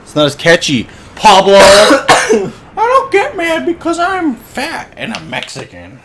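Excitable swearing young gamer guy. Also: extremely high pitch, measured pace, crowd noise. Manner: excitable, swearing, frustrated outbursts. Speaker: let's play host. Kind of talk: casual gameplay commentary.

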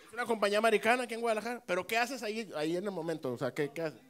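A middle-aged man with a Mexican Spanish accent doing stand-up crowd work on a handheld mic, brash and teasing, swearing and bursting into shouts. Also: high voice, racing. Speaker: stand-up comedian working the crowd. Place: small comedy club stage with live audience.

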